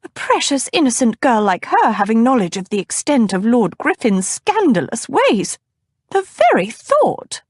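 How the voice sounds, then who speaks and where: A woman reading an audiobook, voicing a fussy, fretting older woman chaperone in a high, fluttery, huffing voice. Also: sing-song, measured pace, dry recording, clean studio sound. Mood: fretful. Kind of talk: audiobook character voice.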